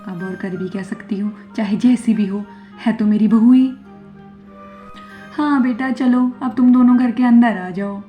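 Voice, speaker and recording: Woman acting a dramatic, high-voiced scolding mother-in-law. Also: measured pace, natural voice. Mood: accepting, bossy. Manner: dramatic, exclaiming, complaining. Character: middle-aged Indian mother-in-law, suspicious, scolding, dramatic. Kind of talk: Hindi animated moral story acting. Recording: dull lo-fi audio, music behind.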